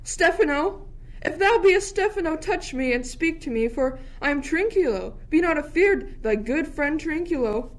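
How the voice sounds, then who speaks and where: A woman's voice: high voice, lively intonation, normal speed. A woman playing a jittery, inquisitive shipwrecked jester in a theatrical Shakespearean radio play. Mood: frightened. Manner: theatrical, musing in archaic prose.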